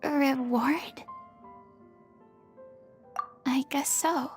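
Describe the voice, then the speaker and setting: A woman voicing a vulnerable, burdened young former idol in a video game, wistful and confessional, often hushed and breathy. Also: high voice, fairly even intonation, very slow, faint music behind. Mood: melancholy, resolute.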